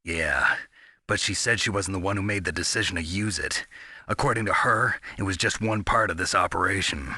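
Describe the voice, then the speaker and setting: Gruff game soldier, deep, raspy, terse, wry, skeptical. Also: male voice, normal speed, sharp tone, dry recording, clean studio sound, full character voice. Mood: serious. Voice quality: gravelly, husky. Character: gruff, skeptical male soldier hero named Snake. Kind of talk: video game voice acting.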